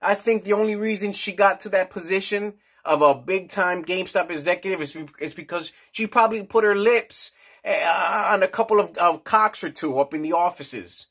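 A man's voice: high-pitched, moderate pace, fairly bright tone, husky. A man in his forties giving a casual, crude and rambling rant in a small indoor office. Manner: brash, crude, slangy, rambling. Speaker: vlogger.

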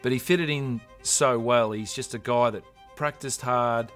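A man giving a measured recollection in a sports interview. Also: mid-range voice, fairly even intonation, a bit bright. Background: light music with strings.

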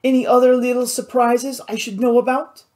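Man playing excitable pirate boss, swooping high. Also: normal speed. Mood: seething. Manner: indignant, scolding, peppered with French exclamations. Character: hot-tempered exasperated Cajun male pirate boss.

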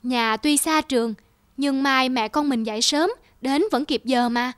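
A woman playing a gentle, patient, poor mother in a cartoon dub, speaking softly and earnestly. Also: high voice, a little slow, no echo, studio quality. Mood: tender, proud. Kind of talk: cartoon dubbing. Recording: loud music behind the voice. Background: music.

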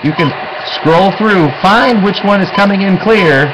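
A man's voice: high voice, unhurried. A middle-aged American man giving an informal, step-by-step demonstration to camera in a conversational, explanatory way, as a TV meteorologist would. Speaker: TV meteorologist presenting a how-to. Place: indoor TV station office with desk and monitors. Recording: clearly noisy room sound, clicks.

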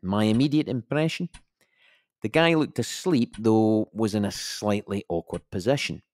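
Measured, blunt male cop giving testimony, male actor; deep voice, dry studio sound, audio drama. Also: darkish tone, no echo, close to an everyday voice. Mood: procedural. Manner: measured, official, slips into bluntness. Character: dry, procedural male police officer giving account.

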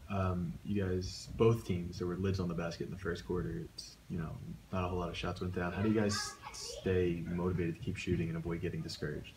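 A deep-voiced male reporter asks his questions in a flat, casual way, pausing on an occasional filler. His voice stays low and even throughout. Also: background noise. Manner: casual, a little halting. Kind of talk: asking press conference questions.

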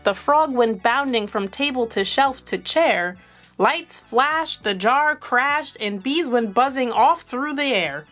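Female children's storyteller, higher-pitched and unhurried, expressive read-aloud delivery, light music behind. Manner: animated, asks young listeners questions.

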